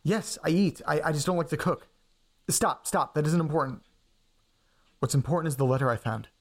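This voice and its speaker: A man's voice: a little high, normal speed, natural voice. A man playing an anxious, awkward, obsessive young man in an audio drama, speaking in an earnest, hesitant, stammering way. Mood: flustered, earnest. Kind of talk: audio drama acting, naturalistic dialogue.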